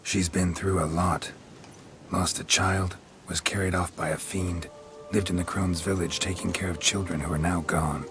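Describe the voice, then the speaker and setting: An actor playing a weary, stoic monster hunter in a video game, deadpan and restrained, with a gravelly low voice. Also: male voice, normal speed. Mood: grave, matter-of-fact. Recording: loud music behind. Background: loud game music.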